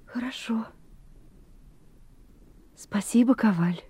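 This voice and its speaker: A woman's voice: on the high side, slow pace, warm tone, slightly rough, dry recording, exaggerated voice. An actress playing a proud young noblewoman from the capital who talks in a teasing, lilting way, in a radio play. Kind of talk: 1980s radio play acting.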